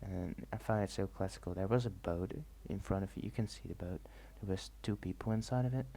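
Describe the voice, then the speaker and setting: Soft-spoken man with a gravelly voice. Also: deep voice, brisk. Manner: rambling, hesitant, mixes soft talk with whispers. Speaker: ASMR vlogger. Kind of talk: soft-spoken travel vlog narration. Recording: faint ambient noise.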